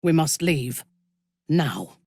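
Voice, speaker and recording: A woman voicing a haughty, ruthless drow warrior in a fantasy video game, husky, measured and imperious. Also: low voice, lively intonation, warm tone, dry recording, studio quality, full character voice. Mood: commanding.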